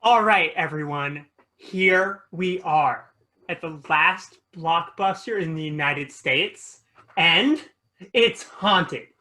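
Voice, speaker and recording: A performer playing a self-absorbed teenage influencer at a table read of a comedy pilot, with an unhurried, vlogger-like delivery. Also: medium pitch, pushed and bright. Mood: showy.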